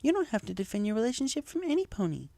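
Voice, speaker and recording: A woman voicing a calm, soft-spoken mare pony who speaks earnestly and with measured care in a story. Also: fairly high pitch, gravelly, pitched above the performer's own voice, full character voice. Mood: calm, frank. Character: calm, gently cautioning mare pony recovering from injury. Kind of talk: fan fiction character dialogue. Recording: music behind the voice.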